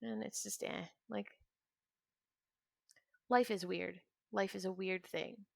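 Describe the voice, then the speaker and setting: Very creaky-voiced young woman streamer, chatting casually. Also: mid-range voice, steady tone, slow pace, no echo, studio quality. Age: 20s. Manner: rambling, trailing off into quiet mutters to self. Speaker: game streamer. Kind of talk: casual chat while playing a video game.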